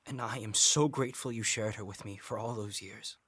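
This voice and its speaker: An actor playing a sincere, contrite young castaway with a subdued, heartfelt delivery, in a period audio drama. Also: male voice, fairly low pitch, steady tone, brisk, fairly bright tone, slightly rough. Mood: sorrowful.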